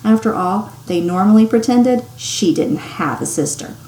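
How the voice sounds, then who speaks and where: A woman teacher reading a story aloud to children in an expressive, animated way with dramatic emphasis. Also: medium pitch, normal speed. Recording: echoey room with clear background noise.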